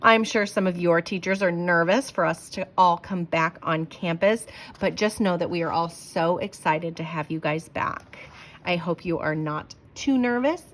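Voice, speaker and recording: A woman doing an expressive read-aloud for children, speaking to them directly like someone from their school. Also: lowish voice, moderate pace. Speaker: school staff member reading to pupils.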